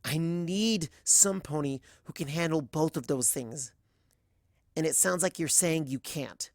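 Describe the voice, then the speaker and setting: A woman plays a young female pony from a storybook, wounded and bitter. The voice sits low and accuses with sarcasm and rhetorical jabs. The sound is very dry. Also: unhurried, darkish tone, no room sound. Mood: angry, hurt. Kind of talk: dramatised fan fiction reading.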